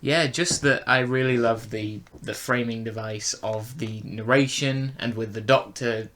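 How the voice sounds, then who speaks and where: A man's voice: medium pitch, fairly even intonation, a little slow, a bit bright, slight background noise. A man co-hosting a casual review discussion, hesitantly searching for his words. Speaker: podcast review co-host.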